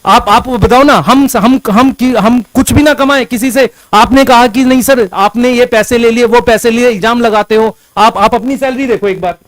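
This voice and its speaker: तीखी ऊँची आवाज़ में भड़का हुआ आदमी. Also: male voice, very high voice, moderate pace, hardly any pauses, fairly bright tone, no room sound, low-quality recording. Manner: confrontational, repeats himself insistently. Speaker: teacher who resigned from an ed-tech company. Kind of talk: heated complaint addressed to a former boss.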